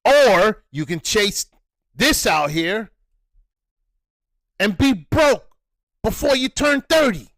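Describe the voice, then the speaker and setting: A man speaking with a high-pitched voice delivers reaction commentary. He talks at a deliberate, slow pace, lecturing and repeating his points for emphasis. The sound is dry and close. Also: animated, a bit bright, no echo. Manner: preachy, repetitive, emphatic.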